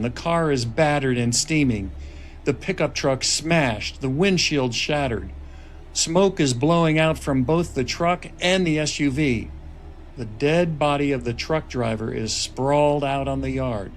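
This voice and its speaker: A man reading stage directions as scripted dramatic narration at a screenplay table read. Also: mid-range voice. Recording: noisy table-read room recording.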